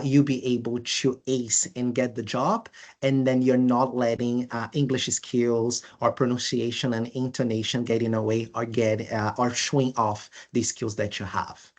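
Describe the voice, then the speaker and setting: A presenter from Brazil with a Brazilian accent, walking through course details in a webinar, speaking with frequent uhs and restarts. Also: medium pitch. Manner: halting, many filler uhs and restarts. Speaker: language school presenter.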